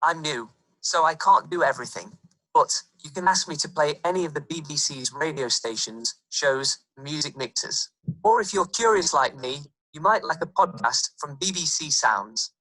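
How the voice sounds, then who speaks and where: A synthetic voice assistant with a generic northern English accent, reading responses in an even, scripted way. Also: mid-range voice, normal speed, dry recording, low-quality recording.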